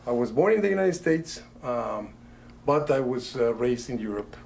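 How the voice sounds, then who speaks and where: A middle-aged man from Italy, a magician doing live patter for a rope trick, talking in a chatty, halting way with many fillers. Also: mid-range voice, lively intonation, creaky, a little mellow, a little hiss or hum. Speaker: magician guest.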